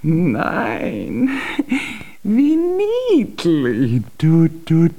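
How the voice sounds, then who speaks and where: An actress playing a gushing, nosy giant neighbour in a children's radio drama, effusive and full of cooing. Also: female voice, low voice, wildly swooping pitch, extremely slow, warm tone, dull audio, full character voice. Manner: effusive, cooing baby talk.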